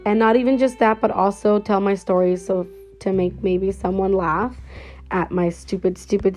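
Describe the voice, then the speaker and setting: A woman's voice: on the low side, darkish tone. A woman in her twenties talking casually and cheerfully on her own podcast, rambling with fillers. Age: 20s. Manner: chatty and upbeat, rambling with fillers. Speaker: podcast host. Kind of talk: casual solo podcast intro. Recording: slightly dull lo-fi sound with faint guitar music.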